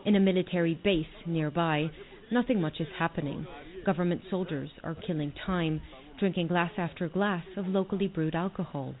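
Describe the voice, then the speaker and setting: A woman correspondent reading a scripted news report in a measured, formal way. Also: on the low side. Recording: tinny phone-like playback.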